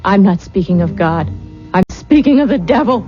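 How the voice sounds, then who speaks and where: A woman's voice: mid-range voice, expressive, normal speed, mellow, faint music behind, lightly acted. Woman playing a haunted young widow, muffled old radio. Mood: haunted, insistent. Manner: intense, dramatic, pleading. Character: distraught, haunted young widow, obsessive and desperate. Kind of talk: old-time radio drama acting.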